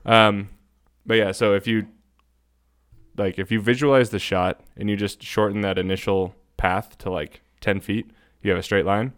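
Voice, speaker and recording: A man's voice: fairly low pitch, fairly even intonation, moderate pace, a bit bright, clear, resonant tone. A man co-hosting a podcast, explaining things informally and thinking aloud as he goes. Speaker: podcast co-host. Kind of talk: casual podcast chat and explanation.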